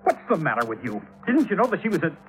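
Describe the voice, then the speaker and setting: A man's voice: a little high, wildly swooping pitch, quick pace, a bit bright, soft background music, low-quality recording. An American actor playing a bitter, defensive, lovelorn man in a 1950s radio drama, emotional and sometimes hesitant. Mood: protective. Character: bitter, defensive man protective of his sweetheart.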